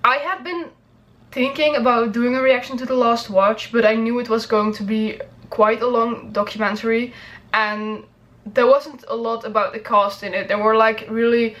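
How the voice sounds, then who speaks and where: A woman's voice: a little high, fairly even intonation, normal speed, fairly bright tone, highs cut off. A woman chatting casually to viewers as a reaction video host, rambling and hedging as she goes.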